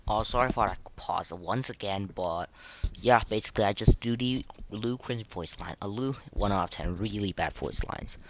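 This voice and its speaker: A man's voice: fairly low pitch, moderate pace, a little hiss or hum. A young man hosting a casual, unscripted podcast of game ratings in a hesitant, rambling, informal way. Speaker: podcast host.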